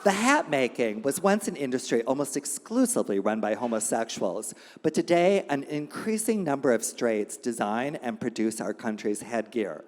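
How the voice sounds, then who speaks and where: A man hosts a live reading event in a reverberant hall. He speaks informally at a middling pitch, moving between loose asides and reading aloud over the venue's microphone. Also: big pitch swings, normal speed, hardly any pauses, darkish tone. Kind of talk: live event hosting and reading of a satirical editorial. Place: indoor gallery event space. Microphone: handheld event microphone.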